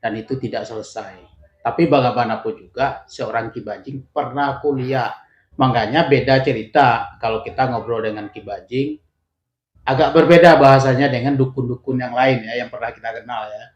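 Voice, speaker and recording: A man's voice: mid-range voice, moderate pace. A man hosting a casual interview, recapping and commenting on what his guest says. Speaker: interview host. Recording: dull sound, echoey room.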